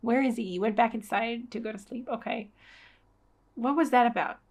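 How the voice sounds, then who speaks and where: A woman's voice: fairly high pitch, steady tone, moderate pace, a little mellow. A woman giving a casual read-along reaction as host, with informal, wry, sarcastic commentary.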